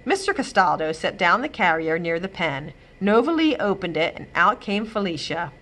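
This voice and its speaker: A woman reading a children's chapter book aloud, giving the characters expressive, lively voices. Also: lowish voice, normal speed. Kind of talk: storybook read-aloud. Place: library, indoors.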